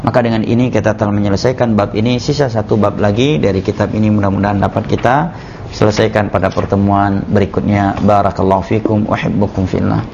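Gravelly-voiced male Islamic teacher lecturing. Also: on the low side, fairly even intonation, background noise. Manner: measured, repeats "ya" and "nah" as he explains. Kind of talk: religious study lecture. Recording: muffled lo-fi lecture recording.

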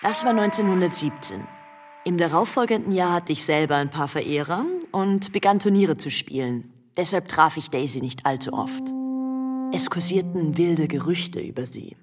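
A woman's voice: deep voice, moderate pace, natural voice. An actress playing a worldly young society woman who recounts her memories in a radio play with cool composure. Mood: reminiscing, composed. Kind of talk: radio play storytelling in German. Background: music.

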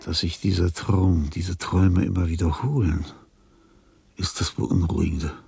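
An actor playing a sick, weary old artist near death, morbid and fussy, in a theatrical, brooding radio drama monologue. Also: male voice, low-pitched, fairly even intonation, a little slow, over loud music. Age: older. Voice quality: husky, creaky. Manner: theatrical, brooding, slips into whispering.

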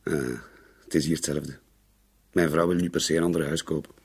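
A disgruntled Flemish father in middle age in a radio play. His voice is low and very rough, and he grumbles with dismissive sarcasm. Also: little pitch movement, a little fast, heavily acted. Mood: resentful. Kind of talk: naturalistic radio drama acting.

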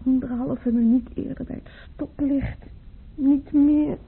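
An actress playing a wistful, fragile young woman in grief, reminiscing haltingly in a Dutch radio play. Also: high voice, steady tone, vocal fry, breathy edge, exaggerated voice. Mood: tearful, bitter. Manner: halting, reminiscing, repeats herself. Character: grieving young woman, Maria, wistful, fragile, tearful, in love. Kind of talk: Dutch radio play acting, intimate monologue. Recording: muffled, phone-like old radio recording.

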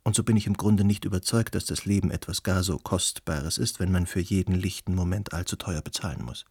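An actor playing an eloquent, worldly and uncanny gentleman in a radio play, speaking formally and musingly in a husky voice. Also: sits low, a little fast, no echo, studio quality. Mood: wry, musing.

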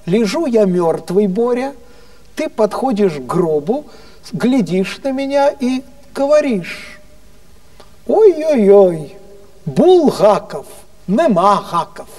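Man doing a grand, high-voiced old stage wit. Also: sing-song, slow, darkish tone, recorded from a distance, full character voice. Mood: playful, theatrical. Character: grand, witty old theatre actor telling jokes.

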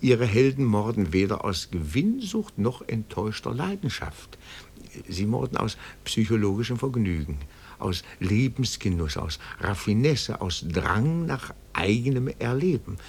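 A petty-bourgeois amateur detective in an old radio play. His voice is lowish and gravelly, swinging widely as he declaims. He is fussy, pedantic and zealous about his findings. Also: sing-song, a little slow, darkish tone, slight background noise. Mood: pedantic, admiring. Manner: pedantic, flowery, theatrical declaiming. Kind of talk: 1950s German radio play acting.

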